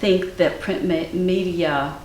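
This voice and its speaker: An older woman, a guest artist whose first language was Ukrainian, talking in a thoughtful, measured way with many fillers. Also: fairly low pitch, steady tone, a little mellow. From Cleveland, Ohio, US. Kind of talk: casual interview conversation. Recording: echoey room from far off, faint noise.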